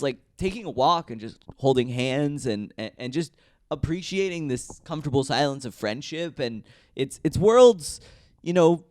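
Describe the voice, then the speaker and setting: Brief: male comic, lively up-and-down delivery, playful riffs, dry close mic. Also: medium pitch, no room sound. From America. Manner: playful, riffing, teasing. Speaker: comedian podcast co-host. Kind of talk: casual comedic chat.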